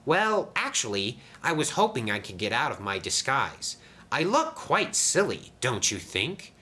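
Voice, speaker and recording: A man's voice: mid-range voice, very animated, unhurried, bright, forceful tone, pitched above the performer's own voice. A man voicing a small, bashful, mischievous engine in a children's story. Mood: sheepish.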